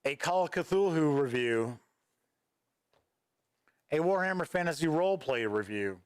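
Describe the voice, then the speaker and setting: A man's voice: a little high, lively intonation, a little slow, clean tone, dry recording. Male stream host, casual and rambling, answers chat offhandedly, raised voice, dry close sound. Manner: rambling, reads viewer chat aloud and responds offhandedly. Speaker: host of a gaming news livestream. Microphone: studio microphone at a desk.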